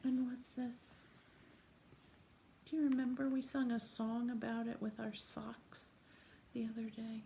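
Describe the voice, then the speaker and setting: A woman doing an interactive read-aloud for young children, gently asking questions and pausing for answers. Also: fairly high pitch, flat delivery, vocal fry, a little hiss or hum. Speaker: teacher reading a picture book to young children. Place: small room at home, indoors.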